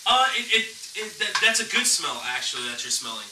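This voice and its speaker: A man's voice: high-pitched, very animated, fast talker. Young guy chef doing a cooking demo, kinda far from the mic in an echoey kitchen. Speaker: chef hosting a cooking show. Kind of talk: casual cooking demonstration chat. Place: small home kitchen, indoors. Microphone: camera a few steps away. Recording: echoey noisy kitchen, distant camera microphone.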